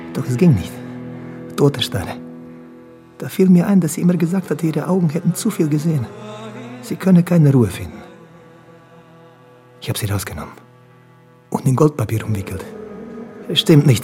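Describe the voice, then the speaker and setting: An actor playing a haunted war refugee, making a grave, halting confession in a radio drama. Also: male voice, mid-range voice, animated, dark tone, lightly acted. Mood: agitated, anguished. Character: haunted Bosnian war refugee confessing, former jazz trumpeter. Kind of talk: German radio drama acting. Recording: music behind the voice.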